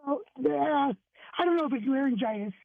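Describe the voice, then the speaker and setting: A woman calling a radio show with a hoarse, strained voice that is nearly lost, short of breath. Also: high-pitched, expressive, fairly quick. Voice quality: husky, strained. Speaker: first-time caller to a radio show. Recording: narrow phone line.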